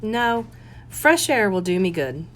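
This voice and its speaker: A woman's voice: medium pitch, very animated, slow pace, faint music behind. Woman voicing an earnest, lilting amateur sleuth. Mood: flustered. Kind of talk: audiobook character voice.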